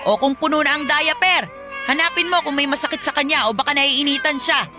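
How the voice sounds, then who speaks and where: An actress playing a stern, scolding older mother-in-law in a radio drama, sharp-tongued and sarcastic. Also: high-pitched, brisk, lightly acted. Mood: irritated, scolding. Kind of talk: Tagalog radio drama acting. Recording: music behind.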